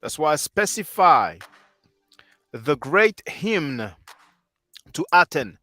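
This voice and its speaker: A man's voice: on the high side, big pitch swings, slow pace, sharp tone, no room sound. A man in his thirties whose first language is French, hosting a livestream and lecturing earnestly while answering chat on a headset mic. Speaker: livestream host.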